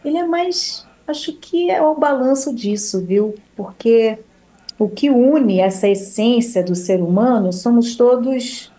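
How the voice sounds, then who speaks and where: A Brazilian woman, a singer who leads choirs, talking in a relaxed, rambling way in an interview, with many restarts and tag questions. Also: on the high side, measured pace. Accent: Brazilian Portuguese. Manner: warm, rambling, with restarts and frequent "né?". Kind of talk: casual interview talk.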